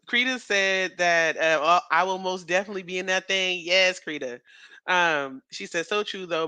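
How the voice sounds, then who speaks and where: A man in his thirties chatting casually as a podcast co-host, rambling with fillers and quick laughs. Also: high-pitched, bright, forceful tone, smooth, no echo. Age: 30s.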